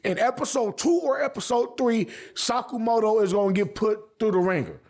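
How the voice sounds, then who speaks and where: A man's voice: high-pitched, moderate pace, a little mellow. A man giving casual, opinionated anime commentary as a vlog host, speaking in emphatic slang with frequent swearing and repeated phrases. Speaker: anime reviewer and channel host.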